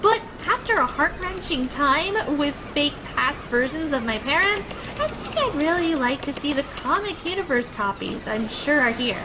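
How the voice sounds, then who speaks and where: A woman's voice: high-pitched, sing-song, normal speed, hardly any pauses, noisy background. A woman voicing a brash, chatty, sarcastic young antiheroine girl in a comic dub. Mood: flippant, negotiating.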